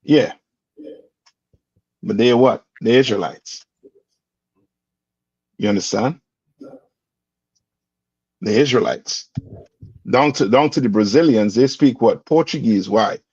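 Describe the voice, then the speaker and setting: A man's voice: medium pitch, takes it slowly, pauses often, a little mellow, slightly rough, no room sound. Man quizzing a class, dull dry recording. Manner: quizzing, prodding students to answer. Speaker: class instructor. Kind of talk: call-and-response religious class.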